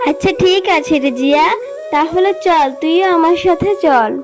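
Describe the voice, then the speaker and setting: A woman's voice: extremely high pitch, normal speed. A woman voicing an agreeable, matter-of-fact young girl in a children's cartoon, with simple childlike delivery. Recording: music playing behind the voice.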